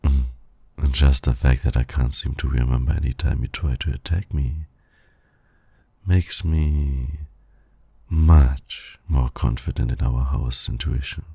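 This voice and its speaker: A man playing a suave, flirtatious villain in an ASMR romance roleplay, teasing in silky, formal, condescending words with a gravelly, breathy voice. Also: very deep voice, steady tone, a little slow, mellow, dry recording, full character voice. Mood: teasing, smug. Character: suave male villain flirting with heroic nemesis.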